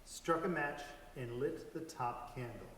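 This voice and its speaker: A man's voice: mid-range voice, measured pace, a bit bright, a little hiss or hum. A man reading a scripted first-person story aloud as part of a dramatic reading. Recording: echoey hall, distant microphone.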